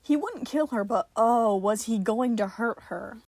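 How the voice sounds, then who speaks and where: A woman's voice: a little high, unhurried, a little mellow, no room sound. A woman reading fiction aloud as a podfic narrator, in a dramatic storytelling style.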